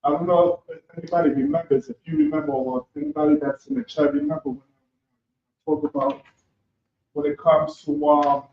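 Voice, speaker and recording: A man taking part in a religious class as a reader, speaking in a halting, deferential way. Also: very high-pitched, sing-song, gravelly. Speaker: class participant and scripture reader. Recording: echoey, dull, noisy room recording.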